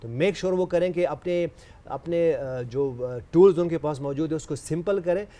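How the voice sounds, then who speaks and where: A man's voice: a little high, racing, dark tone. A man with a Pakistani accent, a native Urdu speaker, giving informal analysis as a sports pundit. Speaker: cricket panel pundit.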